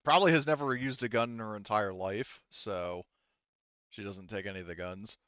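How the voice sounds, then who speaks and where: A man's voice: on the low side, normal speed, fairly bright tone, clear, resonant tone, no echo. A man talking casually and explaining rules as the game master of a tabletop roleplaying game. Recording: dull, lo-fi voice-chat audio.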